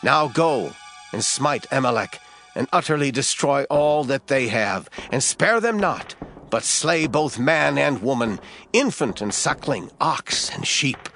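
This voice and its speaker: A man's voice: mid-range voice, lively intonation, measured pace, fairly bright tone, soft background music. A man playing a stern, righteous prophet in a dramatized scripture reading, solemn and declamatory. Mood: solemn command. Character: stern, righteous prophet delivering divine judgement.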